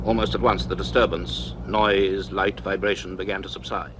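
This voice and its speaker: An actor playing a grave university professor in a radio drama, speaking in a deliberate, earnest, emphatic way with thoughtful pauses. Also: male voice, sits low, level tone, fairly bright tone, a little hiss or hum. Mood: grave, awed. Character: grave male university professor recounting a mystery. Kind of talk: radio drama acting, part notes, part dialogue.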